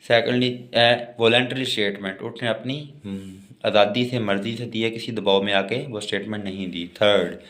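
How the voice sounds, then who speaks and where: A man with a Pakistani accent giving a law lecture, reading notes aloud haltingly, word by word, and explaining them. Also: on the low side, steady tone, fairly bright tone. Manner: slow, halting word-by-word when reading, plainer explanations between.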